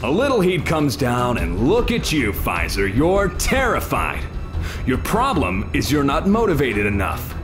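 Theatrical, swooping arsonist villain. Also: male voice, mid-range voice, huge pitch swings, music in the background. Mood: mocking. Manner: theatrical, mocking, drawn-out menace. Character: gleeful taunting male arsonist villain. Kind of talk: audio drama acting.

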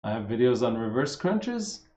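A man in his thirties from Los Angeles with a slight Armenian accent, a fitness coach answering viewer questions in a relaxed, rambling live chat. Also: medium pitch, moderate pace, reverberant. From Los Angeles, USA. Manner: relaxed and rambling, with many fillers and swearing. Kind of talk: casual live-stream Q&A.

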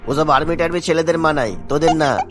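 A man's voice: fairly high pitch, fast, close to an everyday voice. Man playing scornful, domineering rich village patriarch. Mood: mocking. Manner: dismissive, scolding, boastful. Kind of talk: cartoon voice acting. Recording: background music bed.

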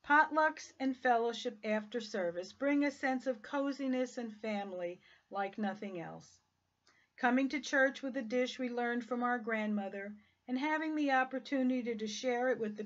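An older woman pastor reads a devotional talk in a fairly high voice, going through her points one by one in a steady, earnest way. She is in a small room at home, speaking into a webcam. The sound is dull and lo-fi. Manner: steady, earnest reading of a numbered list.

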